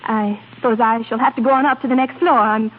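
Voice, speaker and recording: A woman's voice: fairly high pitch, steady tone, fast talker, narrow, tinny audio, natural voice. An actress playing a flustered, haughty young lady in 1930s radio drama style, hesitant and fluttery in manner. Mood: flustered, pretending. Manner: hesitant, fluttery, with nervous little laughs. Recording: thin, noisy 1930s radio recording.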